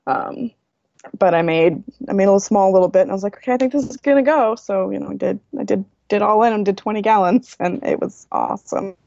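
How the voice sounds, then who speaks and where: A woman chatting as a podcast guest, talkative and quick to laugh, heard over dull lo-fi audio. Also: medium pitch, creaky, a little mellow, dry recording. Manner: chatty, restarts, laughs easily. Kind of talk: casual interview chat.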